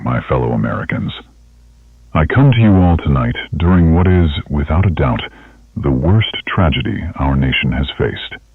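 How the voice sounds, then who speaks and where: A solemn head of state, played by a man, addressing the nation in an extremely low voice with big rises and falls. He is measured and oratorical. The broadcast is thin and radio-filtered. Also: slightly rough, no room sound, phone-quality sound, exaggerated voice. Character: solemn male President of the United States. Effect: radio transmission filter. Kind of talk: scripted televised national address.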